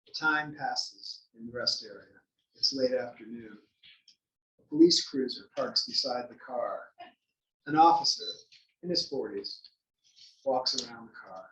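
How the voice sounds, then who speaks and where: A man's voice: medium pitch, a little slow, pauses often, fairly bright tone. A man narrating stage directions from a script at a table read. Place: library room, table read. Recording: echoey library room, distant mic.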